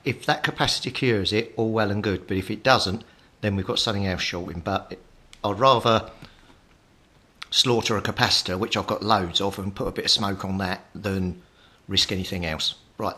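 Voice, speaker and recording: A man's voice: fairly low pitch, a little fast, sharp tone. A British man talking through hands-on electronics repair as a hobbyist host, practical and explaining things step by step. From Britain. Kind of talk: hands-on repair tutorial, talking while working.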